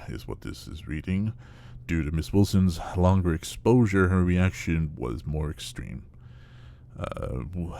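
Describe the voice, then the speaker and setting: A man playing a formal official who reads out an investigation report with hesitant pauses in a horror audio drama. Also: very deep voice, creaky, darkish tone, full character voice. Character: dry male official reading an investigation report.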